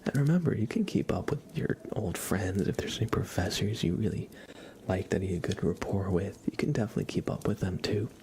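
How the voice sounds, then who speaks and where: Hushed low-voiced man doing soft ASMR. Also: brisk, a little hiss or hum. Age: young adult. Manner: gentle, hushed, half-whispered. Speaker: ASMR livestreamer. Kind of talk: soft-spoken ASMR, casual chat with viewers.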